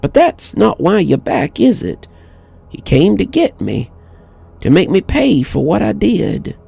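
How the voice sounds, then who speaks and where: Man voicing a high-pitched, bitter old woman. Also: very animated, unhurried, gravelly, warm tone, slightly rough, faint music behind, exaggerated voice. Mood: hurt, accusing. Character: frail, bitter old widow confessing to murder. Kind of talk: acted horror story dialogue.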